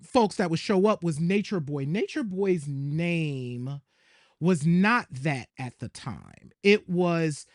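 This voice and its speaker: A man with a somewhat high voice speaks as a podcast co-host. His delivery is casual and conversational, and the recording is dry with no room sound. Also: lively intonation, takes it slowly, no echo.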